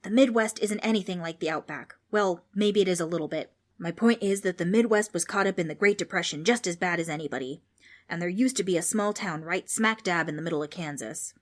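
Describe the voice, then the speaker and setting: A woman's voice: lowish voice, brisk, natural voice. A cantankerous old ghost, acted out by a woman, scolds and grumbles in old-fashioned insults. He rambles and loses his thread, like an exasperated schoolteacher in a children's storybook. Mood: storytelling. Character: cranky old male ghost, scolding and absent-minded. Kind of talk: children's audiobook character voice.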